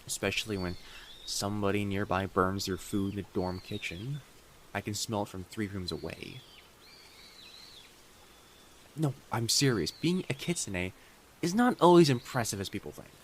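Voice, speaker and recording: A man playing a friendly, attentive young kitsune college student in an audio roleplay, speaking directly to the listener with soft teasing and hesitant pauses. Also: lowish voice, animated, a little hiss or hum, natural voice. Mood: wistful, wry. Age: young adult. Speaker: campus guide to a new student. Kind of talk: scripted boyfriend-style audio roleplay.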